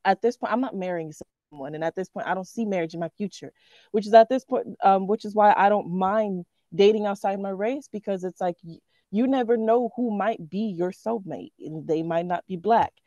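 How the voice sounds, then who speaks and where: A woman's voice: medium pitch, fairly quick, warm tone, no echo. Woman student on dull lo-fi call audio. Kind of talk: casual class discussion.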